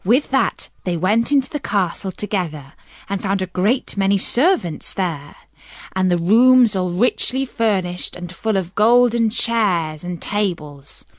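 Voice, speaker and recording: Woman, bedtime story narrator, unhurried pace, very animated intonation, dry studio-like sound. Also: medium pitch, dry recording. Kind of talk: scripted children's fairy-tale narration.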